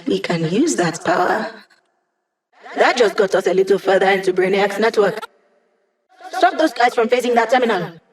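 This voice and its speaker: A woman's voice: mid-range voice, big pitch swings, moderate pace, pauses often, natural voice. A woman voicing a wry, teasing young female hacker in a video game, giving dry mission dialogue over comms. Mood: urgent, businesslike.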